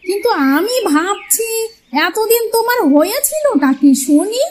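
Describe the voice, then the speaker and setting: A bossy older mother-in-law in a cartoon folk tale, voiced by a woman. Her voice is strikingly high, and she has a nagging, folksy way of talking. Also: big pitch swings, measured pace, slight background noise, exaggerated voice. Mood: fond chiding. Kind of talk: cartoon fairy-tale dialogue.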